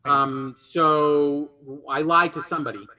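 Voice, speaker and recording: A man hosting a lively quiz game, teasing and encouraging the contestants as he goes. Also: fairly high pitch, takes it slowly, poor audio. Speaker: game show host.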